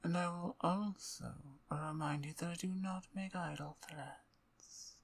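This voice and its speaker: A seductive, domineering female vampire, acted by a woman for an ASMR roleplay. She speaks slowly and deliberately, teasing and threatening at once. Her voice is breathy and husky with a crackle of vocal fry, often sinking toward a whisper. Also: fairly low pitch, fairly even intonation, full character voice. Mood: cold, threatening.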